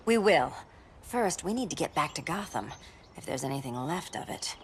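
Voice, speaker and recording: An actress playing a calm, determined female undercover agent in a video game cutscene. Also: low voice, normal speed, noisy background.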